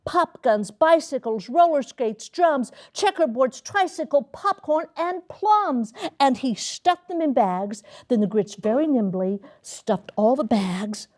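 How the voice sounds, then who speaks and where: A woman reading a children's storybook aloud to schoolchildren in a theatrical, expressive manner, stretching key words. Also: high-pitched, slow pace, few pauses. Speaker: storybook reader, college dean. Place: elementary school classroom.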